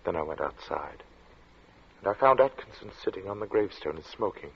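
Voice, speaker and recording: An actor playing an earnest narrator of a strange tale, speaking in a measured, theatrical 1940s radio drama style. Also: male voice, deep voice, lively intonation, gravelly, a little hiss or hum. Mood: measured, puzzled. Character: earnest male narrator recounting a strange encounter. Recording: muffled vintage radio recording with music.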